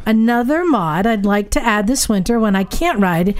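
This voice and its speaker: A woman's voice: medium pitch, expressive, moderate pace. A woman co-hosting a motorcycle podcast, chatting casually and reading listener emails aloud with a playful, laughing manner. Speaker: podcast co-host.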